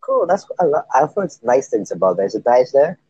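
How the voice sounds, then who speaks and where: A husky-voiced man with a Caribbean accent chatting casually, telling things in an animated way. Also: mid-range voice, fairly quick, no echo, dull audio. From Trinidad. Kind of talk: casual chat.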